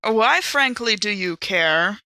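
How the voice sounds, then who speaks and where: A woman's voice: medium pitch, animated, takes it slowly, sharp tone, no room sound. A woman playing a tabletop role-playing game, chatting and joking casually.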